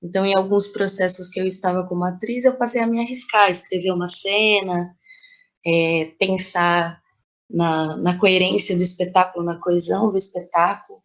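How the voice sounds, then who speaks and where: A woman's voice: lowish voice, steady tone, normal speed. A woman with a Brazilian accent speaking reflectively and conversationally as a playwright being interviewed. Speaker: playwright guest.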